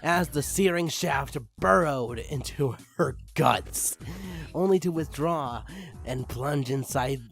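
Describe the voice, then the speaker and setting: A man's voice: medium pitch, slow, gravelly, fairly bright tone. A man reading fan fiction aloud in a theatrical, amused way, stumbling now and then. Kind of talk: dramatic story reading with amused asides. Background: music behind the voice.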